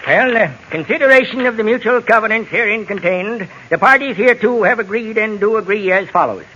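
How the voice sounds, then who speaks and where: An actor playing a fussy, officious small-town lawyer in an old radio western, formal and wordy. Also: male voice, sits high, brisk, sharp tone. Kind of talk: old-time radio drama acting. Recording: noisy, muffled vintage radio recording.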